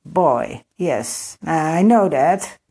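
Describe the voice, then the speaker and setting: A woman voicing a huge, pompous grandfather frog god in a storybook, measured and matter-of-fact. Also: low-pitched, expressive, gravelly, mellow, husky, exaggerated voice. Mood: self-important.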